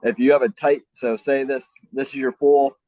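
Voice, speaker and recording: Casual trainer explaining with "I mean"s. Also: male voice, medium pitch, fairly even intonation, normal speed, dark tone. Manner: loose and conversational, many fillers like "I mean" and "right?". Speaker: mobility and stretching trainer. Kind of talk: casual explanatory interview answers. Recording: dull lo-fi sound.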